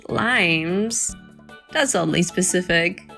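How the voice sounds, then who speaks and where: Romantic audio drama lead: actress as playful young woman, teasing, intimate, slow delivery over soft music. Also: on the low side, vocal fry, exaggerated voice.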